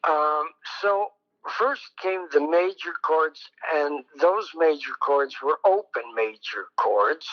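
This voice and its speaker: Older songwriter guest, unhurried and meandering, somewhat high-pitched, dull recording. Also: male voice, lively intonation. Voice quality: fairly high voice. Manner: slow, rambling, many ums and pauses. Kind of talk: reflective oral history interview.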